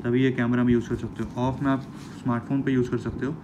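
A man's voice: mid-range voice, fast talker. A young man in a casual vlog, walking viewers through a gear setup step by step, chatty and repetitive, calling them "guys". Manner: chatty, repeats words, says "guys" often. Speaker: motovlogger. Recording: steady background noise.

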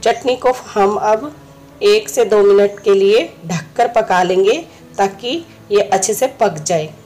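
Slightly hoarse woman, mid-pitched, home cooking host, patient step-by-step delivery, faint music under. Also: slow pace, darkish tone. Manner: instructive, friendly, repeats steps. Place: home kitchen.

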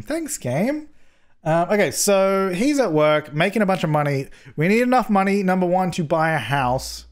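Young guy w a bit of a cold, slightly hoarse, bouncy up-and-down voice, headset mic, chatting thru a life sim game. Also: fairly high pitch. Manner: chatty, jokey, scolds his game characters. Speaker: gaming YouTuber. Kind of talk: casual let's-play commentary.

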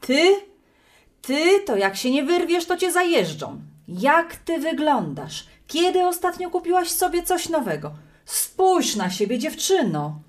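Actress playing a bossy middle-aged grandmother, swooping pitch. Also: very animated, measured pace. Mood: scolding. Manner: blunt, nagging, colloquial. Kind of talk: audio play dialogue.

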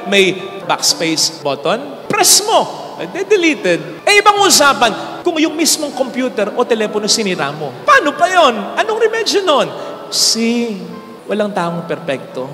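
Animated Filipino priest, high lively voice. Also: male voice. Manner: animated preaching, switching between Tagalog and English. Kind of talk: homily. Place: large church sanctuary, indoors. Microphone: handheld mic. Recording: hall reverb with faint music.

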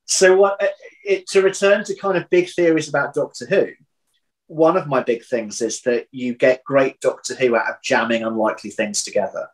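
Halting man thinking aloud. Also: medium pitch, steady tone, low-quality recording. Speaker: audio drama writer, interview guest. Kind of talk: casual reflective interview talk. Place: home room, indoors. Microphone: video call, computer at arm's length.

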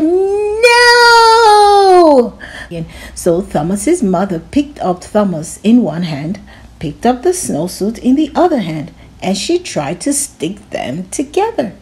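A woman hosting a storytime channel, reading a children's picture book aloud slowly with lots of giggles and a bouncy delivery. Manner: slow, lively, giggly reading with big pitch swings.

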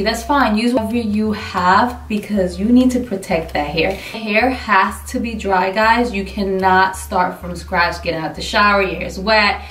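Animated forty-something woman chatting to camera; roomy, somewhat distant studio sound. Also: mid-range voice. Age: 40s. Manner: chatty and animated, swears mildly, hands moving. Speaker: beauty vlogger. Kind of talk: casual product review to camera. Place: indoor studio, dark backdrop. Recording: roomy, a bit far from mic.

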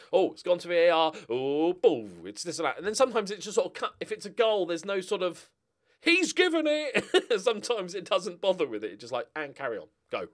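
Guy rambling over his game, voice kinda high and really animated, wry and takes the mick out of himself. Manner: wry, self-mocking, jokey. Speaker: gaming video host. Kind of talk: casual rambling game commentary.

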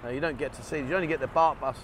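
A man's voice: fairly high pitch, fairly even intonation, very fast, fairly bright tone. A man chatting casually, rambling and swearing now and then as he rides a motorbike through town with a handheld camera. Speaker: vlogger. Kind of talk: casual running commentary. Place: outdoors on a motorbike in town traffic, rain. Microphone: action camera held in hand. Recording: street and traffic noise behind.

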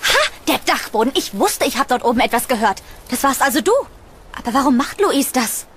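Actress as trusting young heroine, high voice, expressive, earnest, anxious, horror radio play, faint background ambience. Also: female voice, fairly quick, a little hiss or hum. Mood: indignant. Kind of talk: horror audio drama acting.

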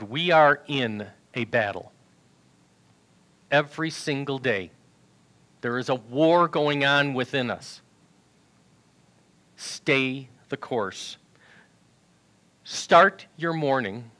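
A man in his sixties preaches into a headset mic in a large church sanctuary, his tone bright and forward. He reads scripture aloud with earnest emphasis and speaks at an even, middle pitch. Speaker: pastor. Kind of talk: sermon.

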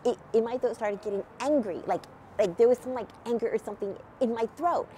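Animated middle-aged woman with a slight cold. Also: a little high, a little fast, a little hiss or hum. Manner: animated, many fillers, gesturing as she talks. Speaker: nonprofit founder. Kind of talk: casual testimony to camera. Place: outdoors at a church event, near a street.

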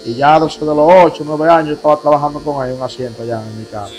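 A young Dominican man, a barber, answering interview questions in a relaxed, plainspoken way inside a busy barbershop. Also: a little high, fast talker, soft background music, poor audio. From Dominican Republic. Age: young adult.

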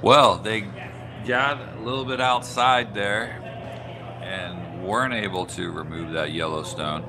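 A man doing color commentary on a curling match, calmly explaining shot choices. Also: on the low side, slow pace, noisy background. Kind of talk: sports commentary.

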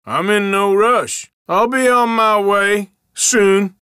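An actor playing an irritable, wary Old West outlaw in a video game, with a rural American drawl and a folksy, sardonic, defensive manner. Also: male voice, high-pitched, slow pace, pushed and bright, smooth, dry recording, clean studio sound, exaggerated voice. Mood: irritated. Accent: American, rural Western drawl. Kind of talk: game voice lines, short barks.

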